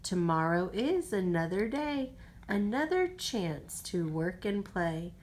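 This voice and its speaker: A woman reads a bedtime storybook aloud at a slow, unhurried pace. Her pitch swings freely and expressively, as a mother reading to her young child does. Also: slight background noise. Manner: expressive, drops to whispers for goodnights.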